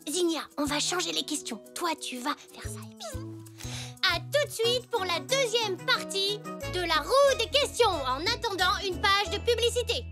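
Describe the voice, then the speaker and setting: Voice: very high-pitched, expressive, moderate pace. A performer voicing a cheerful little zebra boy in a children's cartoon, eager and playful like a show host. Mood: businesslike. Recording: music behind the voice.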